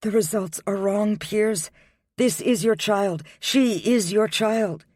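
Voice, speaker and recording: A man's voice: high voice, slow pace, dry recording, clean studio sound, voice pushed up. A man voicing a heartbroken young pregnant woman who pleads through tears and then turns proud. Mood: resolved. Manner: tearful, pleading, then quietly resolved.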